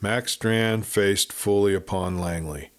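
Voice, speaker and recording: Measured male Western storyteller. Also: on the low side. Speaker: audiobook narrator. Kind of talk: dramatic storytelling narration of a Western.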